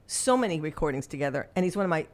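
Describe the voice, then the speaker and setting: A woman's voice: low-pitched, animated, rapid-fire. A middle-aged woman, a songwriter guest, chatting casually in an interview and telling stories with frequent laughs and restarts.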